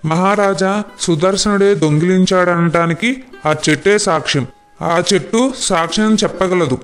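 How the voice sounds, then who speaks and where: Guy doing a greedy hot-headed merchant for a kids cartoon, highish voice, declaims a lot, bit of music under. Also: moderate pace, natural voice. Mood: pleading, insistent. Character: greedy, hot-tempered merchant accusing his friend. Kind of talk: animated moral story voice acting.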